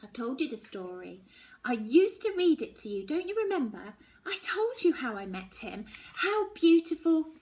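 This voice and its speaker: A woman's voice: high-pitched, sing-song, normal speed, darkish tone, voice pushed up. A woman voicing a dreamy, loving mother from a storybook, coaxing and emphatic in her delivery.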